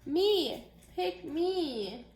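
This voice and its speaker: A woman acts out a restless second grader in a children's storybook. The voice is high and exclaiming, full of eager outbursts, and rings in an echoey room. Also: expressive, extremely slow, a little mellow, exaggerated voice. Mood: excited. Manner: exclaiming, blurting.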